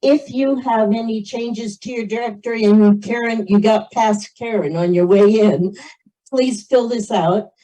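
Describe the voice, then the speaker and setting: A woman's voice: on the high side, measured pace, mellow, rough recording. A woman giving informal instructions and announcements to a community meeting.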